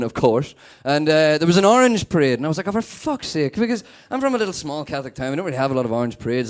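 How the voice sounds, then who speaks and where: Sweary Northern Irish young man, animated. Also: fairly high pitch. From Northern Ireland. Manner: sweary, animated, deadpan asides. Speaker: stand-up comedian. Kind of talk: stand-up comedy set.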